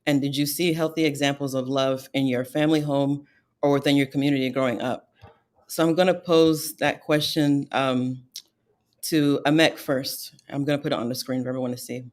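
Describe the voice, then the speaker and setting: A woman hosting a virtual panel discussion over a video call, posing questions in a measured way with some "um"s. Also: a bass voice, fairly even intonation, a little mellow. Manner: measured, fills pauses with "um". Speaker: host and moderator.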